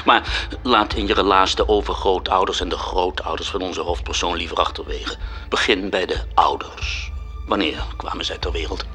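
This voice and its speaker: An actor playing a lofty, impatient cherub in heaven, pompous and theatrical, in a radio drama. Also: male voice, low voice, steady tone, normal speed, sharp tone. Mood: commanding. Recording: music behind the voice. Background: music.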